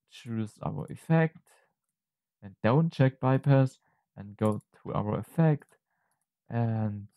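A man presenting an unscripted software tutorial, explaining step by step in a halting, hesitant way. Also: lowish voice, gravelly, dry recording, phone-quality sound.

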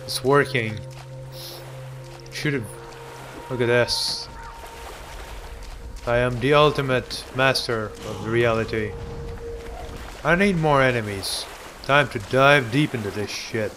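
A man's voice: medium pitch, slow pace, long pauses, sharp tone, faint music behind. A young man giving casual, sarcastic let's play commentary, joking and ranting about the game. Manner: goofy, sarcastic, hums and mock voices.

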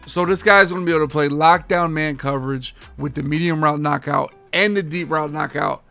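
A man in his thirties, a gaming streamer talking casually and energetically to his viewers, his voice a bit hoarse from overuse. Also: a little high, a little fast, soft background music. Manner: energetic, slangy, addresses viewers as boys and guys. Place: home game room with framed pictures.

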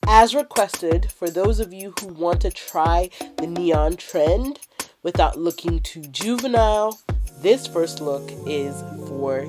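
A young woman with a stuffy, nasal voice from a head cold, chatting brightly to the camera in her living room. Her voice sits a little low, and she speaks slowly and unhurriedly. Faint music plays behind her. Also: expressive. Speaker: fashion channel host. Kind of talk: casual lookbook intro to camera.

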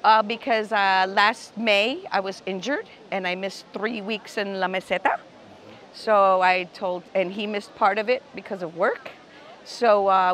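60s woman from puerto rico, mid-pitch, friendly, lots of ums, outdoors. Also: fairly bright tone, a little hiss or hum. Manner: hesitant, friendly, many fillers. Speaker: pilgrim interviewee. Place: outdoor town square. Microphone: lapel.

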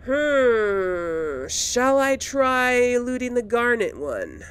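A woman's voice: fairly high pitch, very animated, very slow, clean tone. A woman doing casual let's play commentary as a gaming host, musing and thinking aloud.

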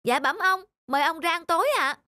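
A man's voice: extremely high pitch, fairly quick, pushed and bright, no room sound, heavily acted. An actor playing a worried young male servant in a folktale cartoon, speaking deferentially and anxiously, with music behind. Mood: deferential, puzzled. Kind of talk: animated folktale cartoon acting. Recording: music under the voice.

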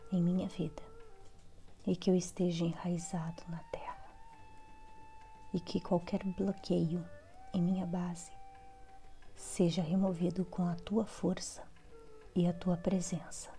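Brazilian woman, guided meditation prayer, very slow delivery, soft and mellow, breathy phrase endings, measured pauses. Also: fairly low pitch, flat delivery. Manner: measured, pausing between short phrases. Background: soft background music.

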